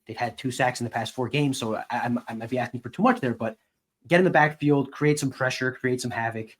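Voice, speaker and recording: A man's voice: medium pitch, a little fast. An American man co-hosting a podcast, speaking in a casual sports-analysis style.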